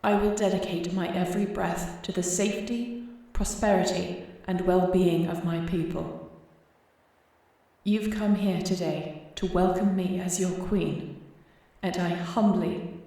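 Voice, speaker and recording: A woman's voice: fairly low pitch, steady tone, measured pace, mellow, very echoey. A woman playing a newly crowned young dragon shifter queen in an audio roleplay, speaking formally and earnestly. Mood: solemn, earnest. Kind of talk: scripted audio roleplay acting.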